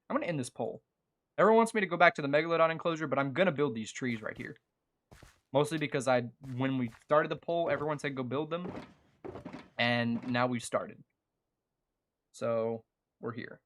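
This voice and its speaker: A young adult man streaming a game, chatting casually and earnestly in a rambling, halting way as he thinks out loud. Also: mid-range voice. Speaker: gaming streamer and video creator. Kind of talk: casual chat over live gameplay.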